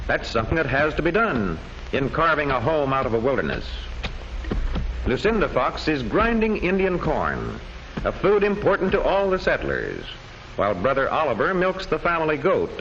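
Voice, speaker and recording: A man narrating an old educational history film from a script, in measured, declamatory storytelling phrases. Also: on the high side, a bit bright. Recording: dull old film soundtrack with faint noise.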